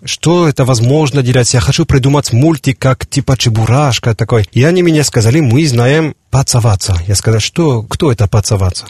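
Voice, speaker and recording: A man from France with a French accent, speaking Russian as a second language, haltingly searching for words as a radio studio guest. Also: mid-range voice, darkish tone, slightly rough. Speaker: guest, producer. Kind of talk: casual radio interview. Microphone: studio mic.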